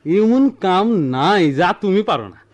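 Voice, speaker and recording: A young apprentice craftsman in a radio play, curious and full of admiration. His high voice jumps around in pitch, with Dhaka-area Bangladeshi speech. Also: animated, moderate pace. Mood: wide-eyed, admiring. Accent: Bangladeshi, Dhaka-area dialect. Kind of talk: radio drama acting.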